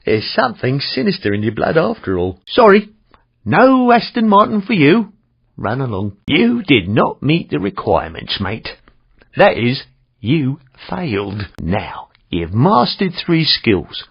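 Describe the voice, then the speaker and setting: Raspy, high-voiced British driving instructor. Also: male voice, wildly swooping pitch, a little slow, full character voice. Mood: wry, encouraging, then sardonic. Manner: wry, arch, mock-polite. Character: dry, sardonic British male driving instructor. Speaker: stunt driving instructor character in a video game. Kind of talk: video game character voice acting. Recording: dull, compressed game audio.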